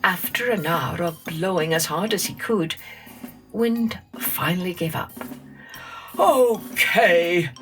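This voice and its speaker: A woman narrating a scripted children's story in an expressive, dramatic storytelling manner. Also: on the low side, a little slow, faint music behind.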